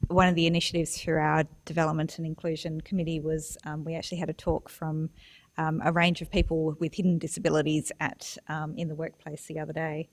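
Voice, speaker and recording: Woman hosting the q&a, low voice, pretty flat, stop-start with lots of ums, dry close sound. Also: no echo. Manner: halting, many fillers and restarts, warm. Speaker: event moderator. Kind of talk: chairing a Q&A.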